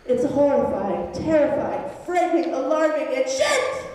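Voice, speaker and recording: A woman's voice: high-pitched, animated, measured pace, a little mellow. A young woman acting as narrator in an amateur dramatic reading of comic fanfiction. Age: young adult. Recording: echoey hall, distant mic, faint noise.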